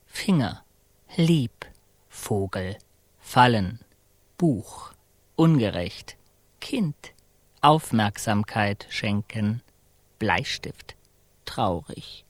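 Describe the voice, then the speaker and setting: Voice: medium pitch, no echo. An actor in a radio play voicing a solemn chanting figure who recites isolated words in a detached way. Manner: slow, detached single-word recitation.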